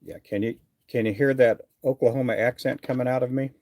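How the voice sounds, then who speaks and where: A man's voice: mid-range voice, steady tone, fairly quick, darkish tone, no echo, studio quality. A middle-aged man from Texas chatting casually on a fishing livestream, answering viewer comments in an easygoing, rambling way with many fillers. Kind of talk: casual live chat reading viewer comments.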